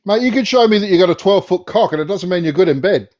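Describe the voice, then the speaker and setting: A man's voice: sits high, lively intonation, rapid-fire, lo-fi sound, noisy background. A man on an online panel, joking crudely.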